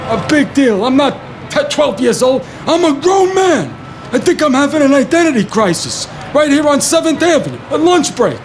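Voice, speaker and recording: Male actor for needy, fretful NYC construction worker: very high-pitched, repetitive, naturalistic audio play, clear street noise behind. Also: big pitch swings, moderate pace, crowd noise, exaggerated voice. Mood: anxious, exasperated. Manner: repeats phrases for emphasis. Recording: noisy city street with traffic. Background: urban street ambience, vehicles.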